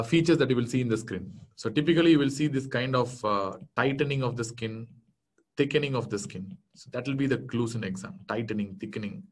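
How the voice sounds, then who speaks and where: A man's voice: mid-range voice, a bit bright. A man in his thirties with an Indian English accent, a native Tamil speaker, giving a casual online medical lecture with many fillers and restarts. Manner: explanatory, conversational, many fillers and self-corrections. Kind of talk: online exam-prep lecture. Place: small indoor room.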